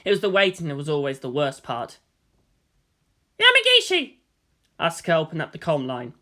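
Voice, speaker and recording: A woman's voice: deep voice, fast. A woman narrating a scripted story as a fan fiction reader.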